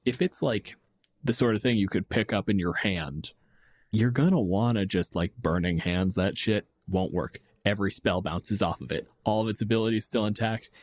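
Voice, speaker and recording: A man's voice: fairly low pitch, a little mellow. A man co-hosting a podcast, chatting casually about tabletop games in a blunt, profane, joking way. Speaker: podcast host.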